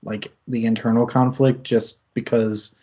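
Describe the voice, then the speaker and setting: A man talking through a book in a relaxed club discussion, stopping and restarting his thoughts and chuckling now and then. His voice sits at a middling pitch. The stream audio is a little dull and lo-fi. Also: a little slow. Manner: rambling, lots of fillers and restarts, chuckles often. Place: home setup, streamed discussion. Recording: slightly muffled lo-fi stream audio.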